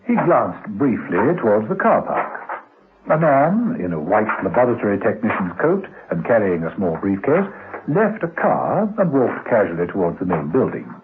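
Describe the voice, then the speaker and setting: A man playing the wry narrator of a spy radio serial, telling it in a measured, theatrical, deliberate way. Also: fairly low pitch, very animated, phone-quality sound, a little hiss or hum. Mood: suspenseful, wry. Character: wry, suspenseful storyteller of a spy thriller. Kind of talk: dramatic scripted radio narration. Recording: band-limited old 1970s radio recording.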